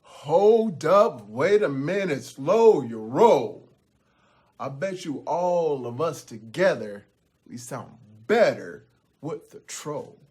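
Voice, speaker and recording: A man performs a big billy goat from a folk tale, the confident older brother. He talks in bold, slangy, rhythmic phrases, unhurried and full of swing in pitch. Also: big pitch swings, darkish tone, husky, heavily acted. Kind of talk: theatrical storytelling.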